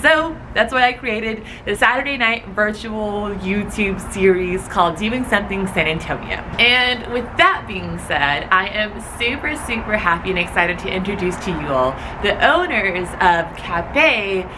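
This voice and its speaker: A woman hosting a web series, introducing her guests with enthusiastic, drawn-out emphasis. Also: medium pitch, lively intonation, noisy background. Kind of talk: show introduction.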